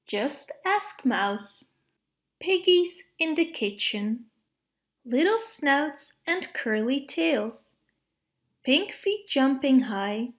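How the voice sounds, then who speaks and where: A woman reading children's picture books aloud to preschoolers in an expressive, measured way. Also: high voice. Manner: expressive, measured phrasing with playful sound words. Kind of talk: storybook read-aloud for preschoolers.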